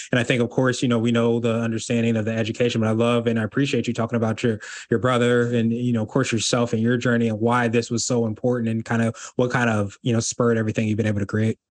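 A man's voice: mid-range voice, little pitch movement, fast, hardly any pauses. A man hosting an interview podcast, speaking in an upbeat, eager manner. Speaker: podcast host.

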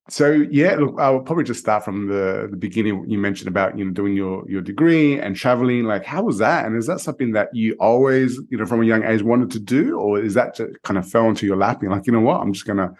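Low-voiced male podcast host. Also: brisk. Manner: relaxed, conversational, many fillers. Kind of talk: casual interview hosting.

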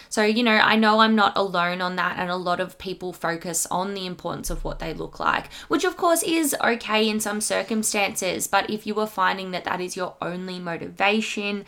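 A woman's voice: on the low side, gravelly, bright, forceful tone. A woman with a slightly congested voice hosting an informative podcast, explaining things directly to listeners as a teacher would. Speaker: podcast host, secondary school health teacher.

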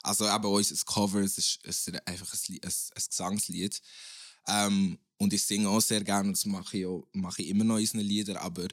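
A man's voice: on the low side, level tone, brisk, dry recording, studio quality. A young man, a guest rapper chatting casually in a podcast and rambling with restarts.

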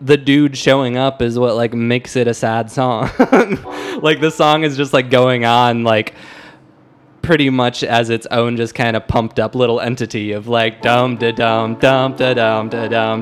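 A man's voice: mid-range voice, fairly even intonation, measured pace. Guy podcast host talking music casually, breaks into little hummed tunes. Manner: hums and scats melodies to illustrate points.

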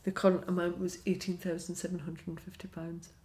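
A woman hosts a podcast in an eager, chatty way, laughing easily as she talks. Her voice sits a little low for a woman. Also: level tone, a little fast, darkish tone. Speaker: podcast host. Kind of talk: casual podcast chat and interview.

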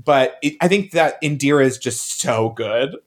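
A man's voice: medium pitch, moderate pace. A man co-hosting a podcast in casual, joking chat, with a campy, hyperbolic way of talking. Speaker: podcast co-host.

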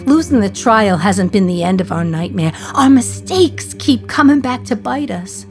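An actress plays a condemned mother speaking from prison in a radio drama. Her medium-pitched female voice confides with dry gallows humour, wistful yet defiant. Music runs behind her monologue. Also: expressive, normal speed, close to an everyday voice. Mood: bitter, resigned.

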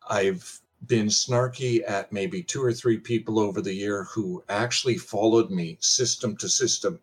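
An older man with a deep, somewhat husky voice talks casually as a guest on a show. He rambles from point to point, poking fun at himself with dry humor. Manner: rambling, self-deprecating, wry humor. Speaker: pitching guest on a game panel show. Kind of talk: casual chat.

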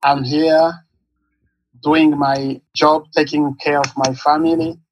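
A man from Congo, in his thirties, with a slightly husky, raised voice. He speaks haltingly, searching for words as he recounts his story in an interview. The recording is dry and close. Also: a little high, little pitch movement, no room sound, rough recording. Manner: halting, searching for words, non-native English phrasing. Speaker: guest, nonprofit founder.

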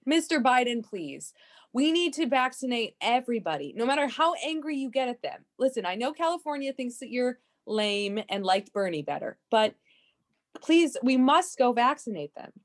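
A woman's voice: on the high side, big pitch swings, moderate pace. A woman playing a pleading, protesting underling in an improvised comedy sketch. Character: pleading, snack-loving underling sent on a vaccine mission.

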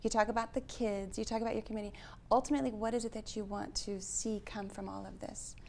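A woman's voice: mid-range voice, steady tone, fast talker, creaky, slight background noise. A woman hosting an interview, asking reflective, chatty questions. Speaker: interview host. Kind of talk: casual interview conversation.

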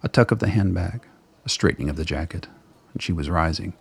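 A man narrating a radio play in a measured, dramatic, literary storytelling style. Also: low-pitched, vocal fry, husky.

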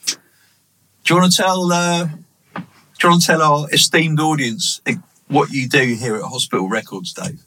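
A man's voice: fairly high pitch, normal speed, long pauses, pushed and bright, slight background noise, rough recording. A man hosting an interview, playfully formal and encouraging toward his guest. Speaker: interviewer, host. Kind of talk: casual interview.

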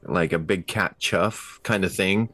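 A man's voice: sits low, measured pace, sharp tone, dry recording. A man casually describing his moves as a tabletop roleplaying player, in a slangy, informal way. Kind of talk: casual in-game action description.

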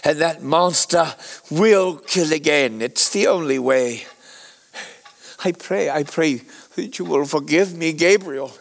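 A man plays a dying Victorian scientist racked with guilt. His voice sits fairly high and is full of gravelly creak. He confesses slowly and haltingly, with theatrical anguish, as in a radio drama. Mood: anguished, pleading.

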